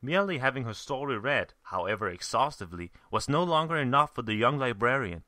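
A man narrating a story from a script in a measured, theatrical way. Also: mid-range voice, very animated, bright, forceful tone, no room sound, clean studio sound. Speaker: fan fiction reader, narrator.

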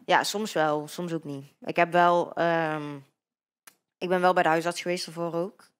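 Soft-spoken young Dutch woman, low voice. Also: level tone, measured pace, clean tone. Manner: hesitant, many fillers and restarts. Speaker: interview guest. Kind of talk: casual interview. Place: bright living-room studio with sofa. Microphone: handheld microphone.

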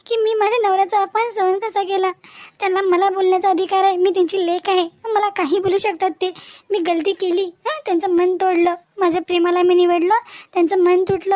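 A very high-pitched young wife, acted by a woman, from a homemade cartoon family melodrama. She is devoted and wounded, pleading in a plaintive, emotional way and repeating herself. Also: a little mellow, no echo. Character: young newlywed wife, devoted, hurt and tearful. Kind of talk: amateur Marathi cartoon melodrama dialogue.

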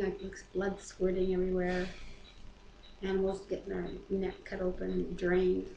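A middle-aged woman with a North American accent, reading scripture aloud and commenting casually in a living room. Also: mid-range voice. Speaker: co-host of a home Bible reading. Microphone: webcam at about arm's length. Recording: roomy echo, noisy home webcam audio.

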